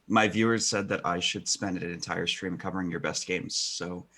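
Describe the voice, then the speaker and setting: A man chatting casually on a video call, relaxed and full of fillers. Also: low voice, normal speed. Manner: relaxed, hesitant with many fillers. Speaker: guest streamer. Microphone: video call mic.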